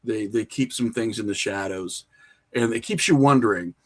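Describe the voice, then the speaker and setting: A man's voice: fairly low pitch, moderate pace. Man chatting casually in an interview. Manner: animated explaining, frequent "you know" and "like", informal slang. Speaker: podcast guest, horror filmmaker.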